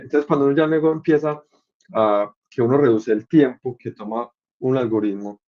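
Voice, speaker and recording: A man's voice: on the low side, gravelly, mellow, muffled recording. A man giving an informal, explanatory career talk, conversational and mixing English tech words into his speech. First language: Spanish. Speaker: senior software engineer giving a talk.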